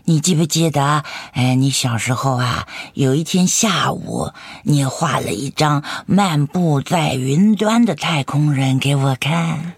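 A woman's voice: deep voice, expressive, a little slow, hardly any pauses, husky, no echo, full character voice. An actress playing a doting, encouraging grandmother in her nineties in a Mandarin radio drama, with sighing, chuckling elderly speech. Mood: tender, hopeful. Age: 90s.

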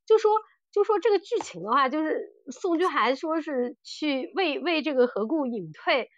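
A middle-aged woman talks casually as a podcast host, with a fairly high voice. She is animated and opinionated in her delivery. The sound is very dry and a little dull on top. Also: normal speed, no room sound. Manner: animated and blunt, mimics characters' lines. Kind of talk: casual chat reviewing audio dramas.

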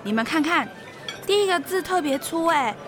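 A high, eager young female voice with a Taiwanese Mandarin accent from an actress, playing a gushing dorm friend with a sleuth's curiosity. It is a radio drama performance with music clearly underneath. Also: lively intonation, moderate pace. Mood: playful, curious. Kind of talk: podcast radio drama acting.

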